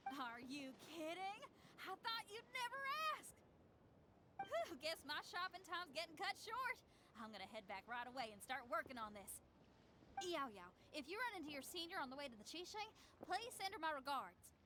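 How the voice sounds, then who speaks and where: A woman voicing an upbeat, bold young rock musician in a game cutscene, energetic, breezy and confident. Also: high-pitched, moderate pace, background noise. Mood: confident, thrilled.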